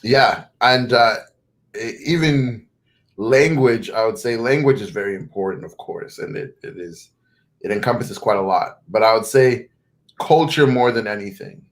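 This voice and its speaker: A young man with a fairly low voice and a North American accent speaks in an unhurried, conversational way. His first language was not English. He thinks aloud, restarting phrases and correcting himself as he goes. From Zimbabwe. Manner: thoughtful, restarts and self-corrections. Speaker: guest. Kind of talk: conversational interview.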